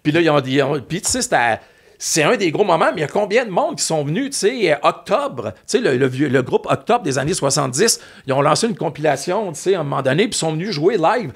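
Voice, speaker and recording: Québécois man, expressive rising and falling pitch, fairly high voice, rambling anecdotes. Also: fast talker, vocal fry, slightly rough. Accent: Québécois French. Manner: animated, rambling anecdotes with many fillers. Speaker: guest, former music TV host. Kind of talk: casual storytelling chat.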